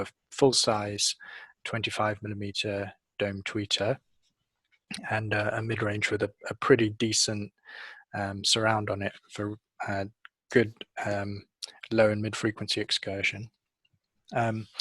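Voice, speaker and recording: A middle-aged man giving a measured, hesitant technical explanation in an interview, speaking into a headset. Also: lowish voice, fairly bright tone, no room sound. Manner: hesitant, measured, pausing often. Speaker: audio engineer, interviewee. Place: office showroom, on video call.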